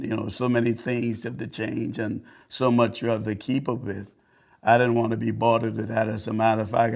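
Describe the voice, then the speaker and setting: An older man from the Bahamas giving an earnest, halting customer testimonial, often repeating words as he restarts. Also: on the low side, vocal fry. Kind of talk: unscripted testimonial.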